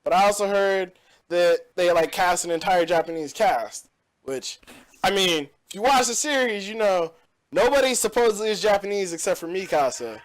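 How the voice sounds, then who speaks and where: A man's voice: high voice, expressive, normal speed. A young man hosting a casual gaming podcast, rambling and opinionated with lots of fillers. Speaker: podcast host. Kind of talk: casual chat.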